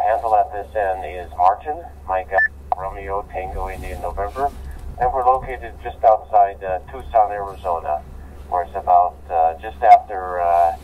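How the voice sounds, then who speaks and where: An American man with an American accent, chatting casually and haltingly as a ham radio operator over digital radio. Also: low voice, mellow, slight background noise. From United States. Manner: halting, filler-heavy. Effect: digital radio transmission. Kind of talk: casual amateur radio contact. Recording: muffled distant digital radio link.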